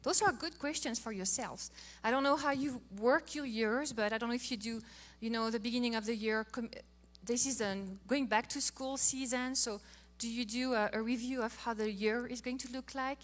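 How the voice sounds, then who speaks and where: A middle-aged woman from France with a French accent, giving a playful, animated talk on marriage as a co-host. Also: fairly high pitch, normal speed, hardly any pauses. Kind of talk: casual talk to an audience.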